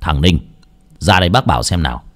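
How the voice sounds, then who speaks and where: A man doing a gruff old exorcist. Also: expressive, fairly quick. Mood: stern. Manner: low, curt and commanding.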